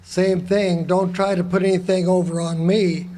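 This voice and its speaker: An actor playing a bullying, suspicious small-town lawman in a radio drama, gruff and contemptuous as he interrogates. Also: high-pitched, little pitch movement, moderate pace, warm tone, close to an everyday voice. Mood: hostile, sneering. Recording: live stage recording, faint noise.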